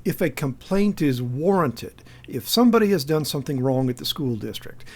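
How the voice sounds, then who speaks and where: Male, American accent, medium pitch, spoken commentary, emphatic, insistent, argumentative. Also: lively intonation, normal speed, darkish tone. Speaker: activist commentator.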